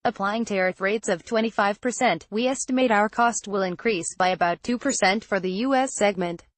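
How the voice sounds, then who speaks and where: A woman narrating a scripted financial news article in a measured, formal read-aloud delivery. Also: medium pitch, dry recording.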